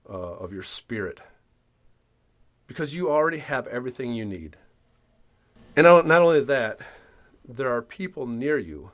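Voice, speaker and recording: A middle-aged man hosting a podcast with reflective spiritual talk, earnest and thoughtful, sounding stuffy from a cold. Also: fairly low pitch. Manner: earnest, thoughtful, with frequent restarts. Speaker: podcast host.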